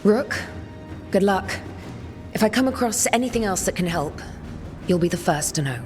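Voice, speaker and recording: An actress playing a serious, commanding military leader in a video game, speaking firmly and earnestly. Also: female voice, medium pitch, animated, moderate pace, music in the background, lightly acted. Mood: resolute.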